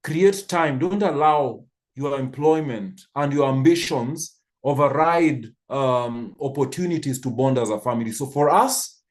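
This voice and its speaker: A man's voice: mid-range voice, unhurried. A man in his fifties from Kenya, telling stories thoughtfully with frequent restarts on a church panel. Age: 50s.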